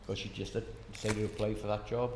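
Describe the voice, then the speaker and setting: A man's voice: lowish voice, little pitch movement, fast, a little mellow. An older man in his sixties, reminiscing casually. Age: 60s. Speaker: interviewee. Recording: echoey hall, faint noise.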